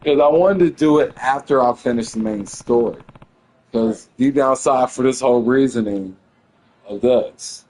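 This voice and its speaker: A man's voice: medium pitch, normal speed, a little mellow, poor audio. A young man casually chatting and swearing while playing a video game, rambling in a laid-back way. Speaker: gamer playing on stream. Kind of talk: casual chat while gaming.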